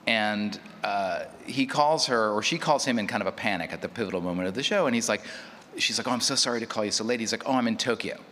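Low-voiced man in an echoey hall. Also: expressive, fast, bright, forceful tone. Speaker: panelist, audio drama maker. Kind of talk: casual panel talk, anecdote. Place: large reverberant hall at a live panel. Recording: echoey hall, background noise.

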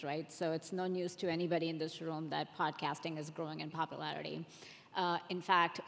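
A woman with a notably low voice speaks as a panelist in a reverberant hall. She explains in a conversational presenting style, often checking that listeners follow. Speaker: audience insights executive, panelist. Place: large room at a conference panel. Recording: echoey hall with long reverb.

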